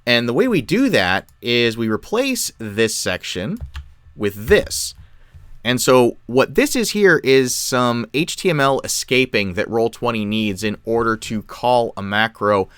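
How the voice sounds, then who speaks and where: A man explains software step by step in a tutorial style. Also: mid-range voice, sing-song, measured pace, clear, resonant tone, no echo. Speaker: tutorial presenter. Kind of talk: software tutorial, explanatory screen-share narration.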